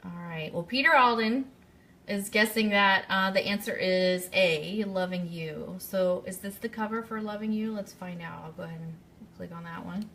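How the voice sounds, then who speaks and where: A woman's voice: mid-range voice, moderate pace, fairly bright tone, slight background noise. A woman hosting a casual live-streamed radio quiz, chatty and speaking directly to her listeners. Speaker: radio show host.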